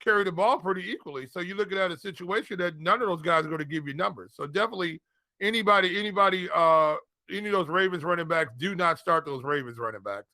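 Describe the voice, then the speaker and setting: A man's voice: sits high, fast talker, pushed and bright, dry recording. A man co-hosting a casual fantasy football show, talking colloquially and repeating phrases for emphasis. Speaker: fantasy football podcast co-host and analyst. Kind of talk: casual sports talk.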